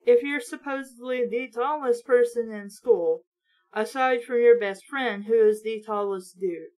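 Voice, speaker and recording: A high-pitched female voice reading a book aloud and picking it apart. She is blunt and sarcastic, speaking as a mocking, sweary reviewer. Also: unhurried, a little mellow, rough recording. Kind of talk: sarcastic read-along commentary.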